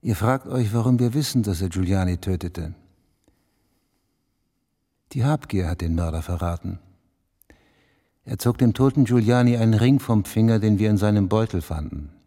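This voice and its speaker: Ein Schauspieler spielt in einem deutschen Hörspiel einen förmlichen, ausweichenden, selbstgefälligen Beamten mit gemessener, gewichtiger Redeweise. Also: male voice, lowish voice, warm tone, lightly acted. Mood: solemn. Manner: measured, formal, evasive, pompous. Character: guarded, smug magistrate's official, formal and evasive. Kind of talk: German radio play acting. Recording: long reverberant hall.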